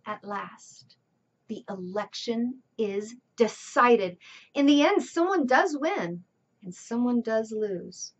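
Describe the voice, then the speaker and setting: High-pitched, expressive woman reading a storybook. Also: lo-fi sound, a little hiss or hum. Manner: deliberate, theatrical emphasis. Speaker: children's storybook reader. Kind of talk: expressive read-aloud narration.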